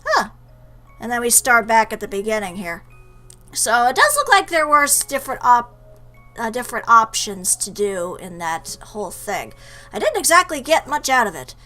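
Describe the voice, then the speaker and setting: A woman's voice: fairly high pitch, very animated, moderate pace, bright, forceful tone, soft background music. A woman doing let's play commentary, reading game text aloud and reacting with asides. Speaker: gaming video host.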